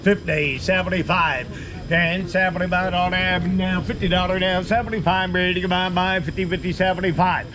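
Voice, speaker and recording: A man working as an auctioneer, calling bids in a rapid rhythmic chant with filler syllables at an outdoor storage lot. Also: high-pitched, fairly even intonation, a crowd in the background. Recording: outdoor camera audio with loud noise.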